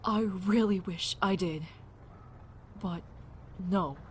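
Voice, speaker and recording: A woman's voice: medium pitch, slow, breathy edge. A woman playing a sober, candid virologist in a zombie audio drama. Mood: grave, resigned.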